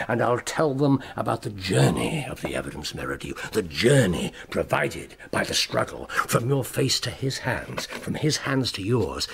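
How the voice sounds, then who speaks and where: An actor playing a brilliant, proud detective in a radio drama, with a British accent and articulate, deliberate, emphatic delivery. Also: male voice, medium pitch, creaky, slightly rough, full character voice. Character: brilliant, proud male detective, precise and relentless.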